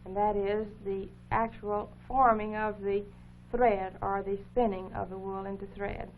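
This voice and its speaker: A woman's voice: mid-range voice, little pitch movement, measured pace. Woman on a humming, tinny old broadcast. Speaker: co-host of an educational program. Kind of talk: unscripted explanatory commentary over film footage. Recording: phone-like 1950s broadcast with faint hum.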